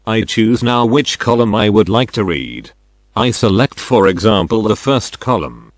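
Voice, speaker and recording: An older man narrates instructions as a non-native speaker of English. His voice sits fairly low and he explains each step plainly and deliberately. The sound is close and dry. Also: lively intonation, no room sound. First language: not English. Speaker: product demonstrator.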